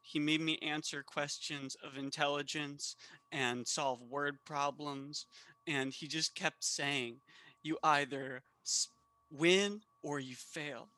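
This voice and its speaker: A man's voice: fairly high pitch, steady tone, slow pace, pushed and bright, no room sound. A man playing a timid, aggrieved student in improv comedy, speaking hesitantly. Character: timid, aggrieved male student making a complaint.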